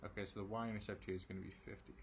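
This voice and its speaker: A man with a low, raspy voice works through an algebra lesson, tired from the late hour. He keeps it casual and reassuring, checking in with lots of ums and okays. A faint noise sits behind him. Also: little pitch movement, rapid-fire. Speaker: math teacher. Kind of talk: recorded math lesson.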